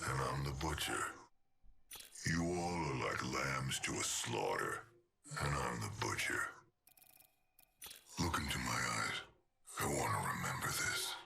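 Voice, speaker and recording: A sinister machine assassin from a video game, with an extremely low, gravel-filled voice. He savors every threat slowly and cruelly, sliding between a sharp-edged growl and a breathy whisper. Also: slight background noise, full character voice. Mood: sinister taunting. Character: menacing sadistic robotic killer, male, taunting his prey. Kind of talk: video game character voice lines.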